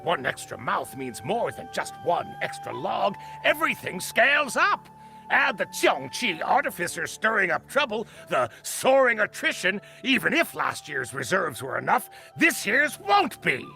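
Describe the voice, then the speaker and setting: An actor playing a bitter, hard-nosed senior craftsman in a video game, speaking in a scornful, deliberate, theatrical way. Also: high voice, huge pitch swings, vocal fry, sharp tone, soft background music, heavily acted, poor audio. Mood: pressing, exasperated. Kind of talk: dramatic video game voice acting.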